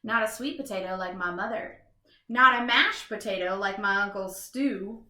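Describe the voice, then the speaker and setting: A woman's voice: mid-range voice, normal speed, sharp tone, reverberant, pitched below the performer's own voice, natural voice. A woman voicing a comfort-loving, boastful couch potato in a children's storybook, theatrical, exclamatory and self-satisfied. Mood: smug, content. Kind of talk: first-person children's storybook read-aloud.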